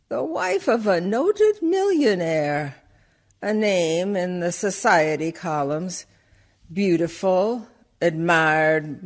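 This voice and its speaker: Male actor as dead villager, quietly proud and unconquered, drawn-out measured verse, slightly high voice, radio drama.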